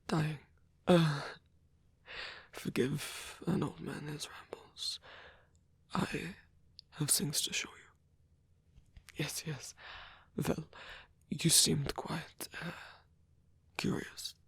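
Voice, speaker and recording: A man voicing a lonely old male vampire in an ASMR roleplay, gentle, rambling and bookish, with a soft, breathy, creaky voice and a halting, musing delivery. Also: a little high, no echo, exaggerated voice. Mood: rambling, apologetic. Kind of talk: ASMR roleplay audio addressed to the listener.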